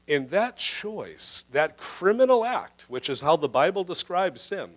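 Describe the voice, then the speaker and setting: A man in his thirties preaching a sermon into a handheld microphone indoors, earnest and emphatic, slowing down to stress key phrases. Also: high-pitched, sing-song. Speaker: pastor. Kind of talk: livestreamed sermon. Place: indoor church room.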